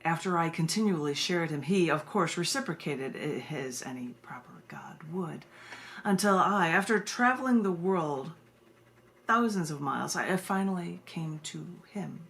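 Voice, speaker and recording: Low-pitched, gravelly woman poet. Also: expressive, normal speed, a bit bright. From United States. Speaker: poet reading her own work. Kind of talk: informal introductions and expressive poetry reading.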